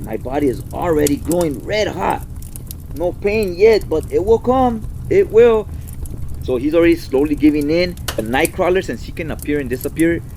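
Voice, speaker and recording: A man's voice: mid-range voice, darkish tone, a little hiss or hum. A man recapping a story in a casual, conversational style, as a host chatting to listeners. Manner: informal, conversational, checks in with "right?". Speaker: host, comic book recapper.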